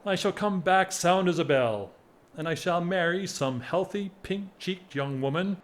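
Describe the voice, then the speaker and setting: A middle-aged English gentleman in a period radio play, lovesick and whimsical. His voice sits fairly high for a man and moves very slowly, with measured, theatrical phrasing and wry self-mockery. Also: lively intonation. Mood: exasperated devotion. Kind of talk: period audio drama acting.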